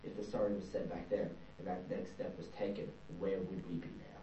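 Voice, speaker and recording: A man's voice: low voice, little pitch movement, fairly quick. A young Australian man giving a thoughtful, searching personal account as an interviewee. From New South Wales, Australia. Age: young adult. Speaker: interviewee, performing arts student. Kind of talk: reflective personal testimony. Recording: distant, dull, roomy with faint hum.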